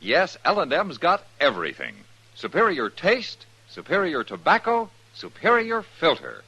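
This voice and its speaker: A man reading a 1950s radio commercial as announcer, husky, with polished, measured phrasing. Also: on the high side, huge pitch swings, bright, forceful tone, poor audio. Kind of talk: 1950s radio advertising read.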